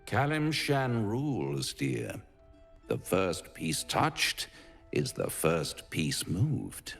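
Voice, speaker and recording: A low-voiced, smug devil from a video game, with hugely swooping intonation. He is pompous and silky, savoring every phrase at a slow pace as he tempts and threatens. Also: very animated, creaky, long reverb tail, soft background music, exaggerated voice. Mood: amused, courtly. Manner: pompous, silky, teasing, florid delivery. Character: suave, theatrical male devil, smug, menacing tempter. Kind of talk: theatrical video game acting.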